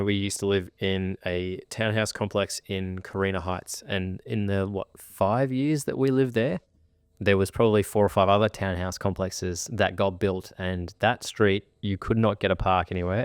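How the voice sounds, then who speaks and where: A deep-voiced Australian man co-hosting a podcast, laying things out in an easygoing way with everyday examples. He speaks into a studio mic, and the sound is dry with no room echo. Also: clear, resonant tone. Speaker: podcast co-host and buyer's agent. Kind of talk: casual podcast chat.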